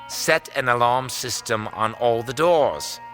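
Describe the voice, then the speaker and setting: An actor playing a sadistic, gloating villain in an audio drama, smug, taunting and menacing. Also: male voice, medium pitch, slow pace, fairly bright tone. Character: sadistic, gloating male villain holding captives. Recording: music under the voice. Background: dramatic background music.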